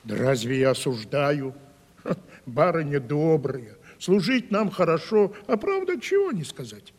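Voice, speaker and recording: Sly high-voiced gossipy manservant. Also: male voice, sing-song, measured pace, big-room sound, full character voice. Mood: needling. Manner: teasing, insinuating, folksy. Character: sly, gossipy, truth-telling male manservant, needling and folksy. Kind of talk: 1970s Russian radio play acting.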